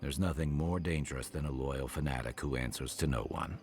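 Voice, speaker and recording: A steady fantasy adventurer in a video game, with an extremely low voice. He is measured and level-headed, with music behind him. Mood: measured concern. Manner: measured, weighing risks calmly. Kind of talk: dramatic video game acting.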